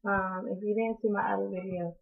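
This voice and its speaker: A young woman from North Carolina, hoarse from allergies, chatting casually in a haul video with lots of ums and apologies, recorded on a close webcam in a small room. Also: medium pitch, fairly even intonation, brisk, slight background noise. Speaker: beauty vlogger. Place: small indoor room, in front of a door. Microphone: webcam at close range. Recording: tinny, phone-like sound.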